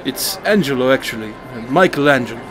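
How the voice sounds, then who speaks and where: A man acting as an imposing ex-criminal priest in a radio drama, friendly, hesitant and gently teasing. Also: medium pitch, lively intonation, quick pace, natural voice. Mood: genial, hesitant. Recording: clearly noisy ambient background.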